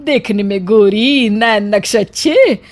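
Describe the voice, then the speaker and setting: A woman's voice: mid-range voice, slow pace, natural voice. A woman voicing a plump, discontented housewife in a cartoon story, complaining and self-pitying. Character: plump, fair housewife, discontented with her own looks. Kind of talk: cartoon family story acting.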